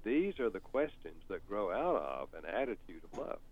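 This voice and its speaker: An older Southern man with a low voice talks in a lively, folksy way, his pitch rising and falling as he tells stories. The sound is tinny, like a phone line. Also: normal speed. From North Carolina. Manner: folksy, animated and storytelling. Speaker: author and counselor guest. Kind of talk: explaining on a talk show.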